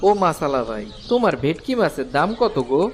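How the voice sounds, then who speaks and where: A man's voice: fairly high pitch, very animated, brisk, slight background noise. A man voicing a kindly woodcutter shopkeeper. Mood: friendly. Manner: earnest, folksy and plain-spoken. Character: humble kind-hearted male woodcutter shopkeeper. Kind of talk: cartoon dubbing. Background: background music.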